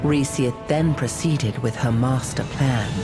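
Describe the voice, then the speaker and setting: A woman voicing a solemn storyteller, narrating dark fantasy game lore in a dramatic, measured way. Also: rumbling low. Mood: ominous. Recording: loud music behind the voice. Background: loud game music.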